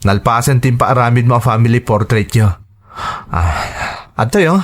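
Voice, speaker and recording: A man's voice: mid-range voice, normal speed, lightly acted. A man acting an earnest, humble young Ilocano painter. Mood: modest, grateful. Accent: Ilocano Filipino. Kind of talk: radio drama acting.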